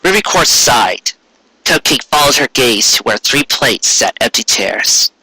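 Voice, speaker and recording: Amateur male audiobook narrator: mid-pitched, sharply bright voice, scripted storytelling. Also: dry recording, poor audio.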